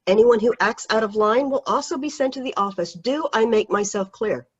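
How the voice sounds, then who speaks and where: A woman voicing a strict high school teacher, stern and commanding, over dull video call audio. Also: fairly quick, husky.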